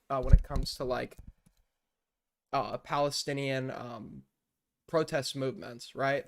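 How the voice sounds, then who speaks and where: A young man giving casual live-stream commentary, rambling and blunt, with lots of fillers and swearing. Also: medium pitch. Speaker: streamer reacting to news clips.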